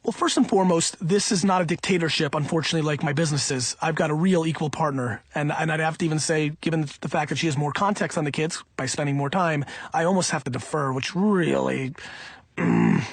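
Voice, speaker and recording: A man reading an audiobook in the first person, in an emphatic, punchy, conversational way with a husky voice. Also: a little high, expressive, brisk, no echo. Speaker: audiobook reader.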